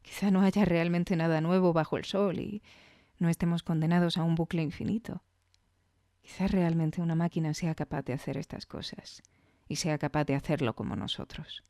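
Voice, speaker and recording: A woman's voice: deep voice, steady tone, moderate pace, warm tone, no echo. Woman podcast host, close dry sound. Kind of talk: scripted podcast narration with conversational asides.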